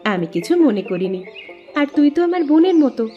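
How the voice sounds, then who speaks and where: Woman playing a gentle, patient village wife, music underneath. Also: high-pitched, very animated, normal speed, dull audio. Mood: forgiving, affectionate. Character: gentle, forgiving elder sister-in-law, poor farmer's wife. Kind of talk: Bangla cartoon dubbing.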